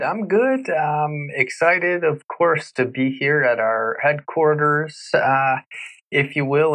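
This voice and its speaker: Podcast host from New York. Gravelly, easygoing, chatty, lots of fillers. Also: male voice, medium pitch, pushed and bright, poor audio. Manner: loose, chatty, many fillers. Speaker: podcast host, here a guest. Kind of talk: casual conversational intro and interview.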